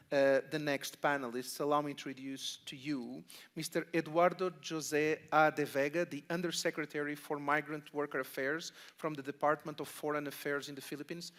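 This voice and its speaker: A man's voice: fairly high pitch, fairly bright tone. A man moderating a conference panel, introducing speakers formally and courteously with occasional hesitations. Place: conference room.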